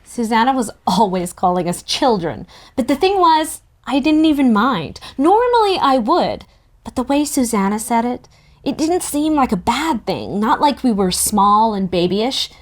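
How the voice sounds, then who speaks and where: A woman reading a first-person novel aloud in an expressive, youthful storytelling manner. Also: on the high side, unhurried. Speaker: narrator in a table read.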